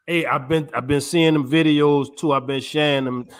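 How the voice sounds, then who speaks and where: A man's voice: fairly high pitch, fairly even intonation, moderate pace, clean tone. Slangy laid-back male podcast co-host. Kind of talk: casual chat.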